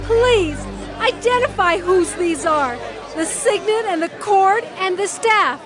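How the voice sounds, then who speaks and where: An accused young widow pleading for her life. Her voice is extremely high, set in biblical audio drama with music and a noisy crowd behind. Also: expressive, takes it slowly, full character voice. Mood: desperate pleading. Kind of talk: dramatized scripture audio acting.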